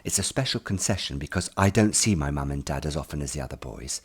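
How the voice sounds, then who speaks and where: A man's voice: extremely low pitch, fast, husky, voice pushed down, exaggerated voice. A man reading an audiobook as an anxious, earnest ten-year-old schoolboy telling his worries in the first person. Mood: anxious waiting. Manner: earnest, fretful, expressive storytelling. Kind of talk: first-person audiobook narration in present tense.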